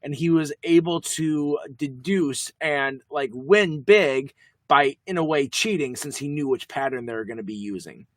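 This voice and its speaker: A young man co-hosting a podcast, talking quickly in a rambling, jokey way. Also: fairly high pitch, no echo. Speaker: podcast co-host. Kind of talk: casual chat.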